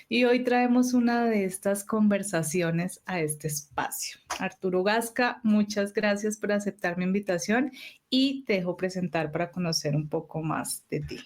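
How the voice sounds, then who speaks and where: Host: woman, mid-range pitch, friendly and encouraging interviewer. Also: darkish tone. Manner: friendly and encouraging, with short backchannels. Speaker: podcast host. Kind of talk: casual interview hosting.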